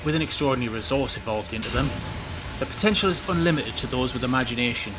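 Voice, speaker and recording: A man acts out a ruthless boss of a sinister facility in an audio drama. His voice sits at a middling pitch, pompous and smug with cold menace. Clear noisy ambience runs behind him. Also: fast, close to an everyday voice. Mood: smug, contemptuous. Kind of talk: scripted audio drama acting.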